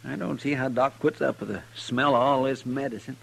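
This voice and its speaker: A man's voice: mid-range voice, steady tone, fairly quick, gravelly, husky. A man playing an earnest, folksy deputy sidekick in a 1950s radio western, hesitant and deferential. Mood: musing. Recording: faintly noisy old radio recording.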